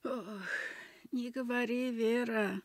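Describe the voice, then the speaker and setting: A woman's voice: on the high side, fairly even intonation, slow, no room sound. A woman playing a sorrowful, plain-spoken old village grandmother in a Soviet radio play. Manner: lamenting, plain-spoken storytelling. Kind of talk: Soviet radio drama acting.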